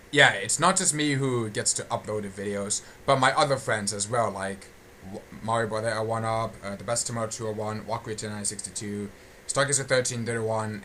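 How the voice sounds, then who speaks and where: A man giving a casual, unscripted channel update, halting and often repeating and restating his phrases. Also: on the low side, bright, forceful tone, a little hiss or hum. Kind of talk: casual unscripted audio log.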